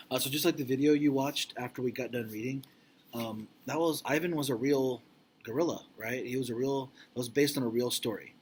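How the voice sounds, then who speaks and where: A man reading a picture book aloud to children like a teacher, expressive and deliberate, with informal explanatory asides. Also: on the high side, husky.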